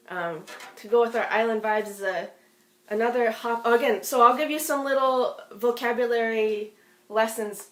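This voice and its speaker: A woman's voice: mid-range voice, a bit bright. Chatty woman from Hawaii in a roomy space. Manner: chatty and rambling, with frequent restarts and light laughs. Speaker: musician and performer. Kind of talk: casual chat between songs on a livestream. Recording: roomy echo with faint ukulele.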